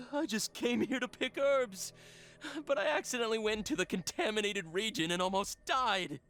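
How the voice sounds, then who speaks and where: An actor playing a terrified, paranoid, half-crazed herb gatherer who stammers, in an anime-style video game. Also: male voice, sits high, moderate pace, a bit bright, soft background music. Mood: shaken, manic. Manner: stammering, panicky.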